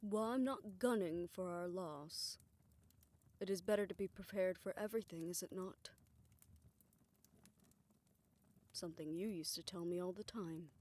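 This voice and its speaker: Casting: actress for a tired, loving older woman, lowish voice, soft intimate delivery, thoughtful pacing, for romantic audio roleplay. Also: moderate pace, lightly acted. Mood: apprehensive, thoughtful. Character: weary, affectionate older woman confiding in her partner.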